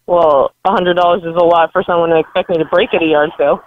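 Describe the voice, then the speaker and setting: A woman's voice: lowish voice, fairly even intonation, quick pace. A woman talking unscripted on the telephone, blunt and no-nonsense, over a lo-fi line. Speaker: yard sale seller answering a prank call. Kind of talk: unscripted phone conversation.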